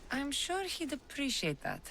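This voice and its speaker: A woman's voice: sits high, wildly swooping pitch, normal speed, pushed and bright, a little hiss or hum, full character voice. An actress playing a tough, confident female mercenary with a dry, wry manner in a video game cutscene. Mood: businesslike.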